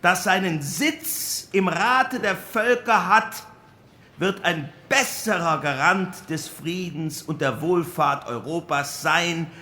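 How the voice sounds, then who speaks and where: An actor playing a defiant, fervent post-war German parliamentarian, declaiming a formal political speech with emphasis. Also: male voice, a little high, slow pace, bright, forceful tone, exaggerated voice. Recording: echoey room.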